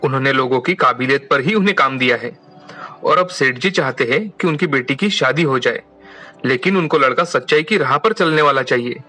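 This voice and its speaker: A man voicing a polite, respectful young man of humble means in an animated moral story. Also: mid-range voice, brisk, soft background music. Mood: respectful, troubled.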